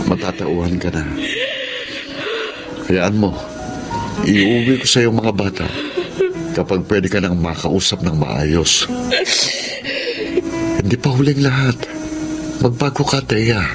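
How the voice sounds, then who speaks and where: A man playing a weary, forgiving estranged husband and father in a radio drama, measured and reproving. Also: lowish voice, music in the background. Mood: grave, resigned. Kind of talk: melodramatic radio drama acting.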